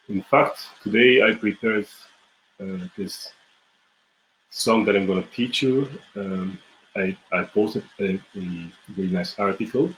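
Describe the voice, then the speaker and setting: Young male music teacher, casual and full of restarts, low voice, faint room hum behind him. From Bulgaria. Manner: relaxed, halting, many fillers and restarts. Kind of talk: casual live online lesson. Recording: echoey room with faint ambience.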